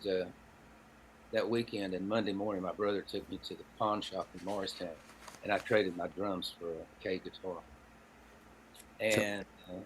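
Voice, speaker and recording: An older man in his seventies speaks in a low voice with a Southern Appalachian drawl. He spins personal anecdotes in a folksy way with dry humor, over noticeable background noise. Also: rough recording. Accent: Southern Appalachian American. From Appalachian Tennessee, United States. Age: 70s. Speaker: podcast guest, storyteller and songwriter. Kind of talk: anecdotal conversational storytelling.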